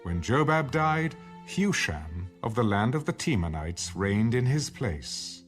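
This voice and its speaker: A man narrating an audio Bible, reading scripture in a measured, solemn way with careful enunciation. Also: mid-range voice, very animated, soft background music.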